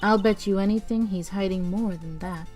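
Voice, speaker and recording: A woman's voice: medium pitch, normal speed, darkish tone, faint music behind, lightly acted. Woman as an encouraging, composed female mentor. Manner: encouraging, assured. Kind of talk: video game fan dub acting.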